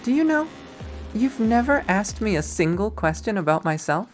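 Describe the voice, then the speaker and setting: A man's voice: sits high, big pitch swings, moderate pace, faint music behind, voice pushed up. A man voicing a menacing young college woman in a visual novel, swinging between chirpy, icy and taunting. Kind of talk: visual novel character acting.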